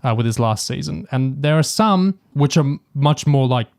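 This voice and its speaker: A man's voice: medium pitch, animated, moderate pace, creaky, a little mellow. A man co-hosting a podcast, talking casually and analytically with a fan's enthusiasm. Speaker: podcast co-host. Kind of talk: casual discussion of audio drama and TV.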